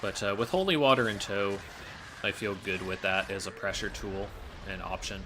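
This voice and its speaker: A man's voice: lowish voice, normal speed, noisy background. A man giving casual, analytical gameplay commentary as a speedrunner, explaining his choices.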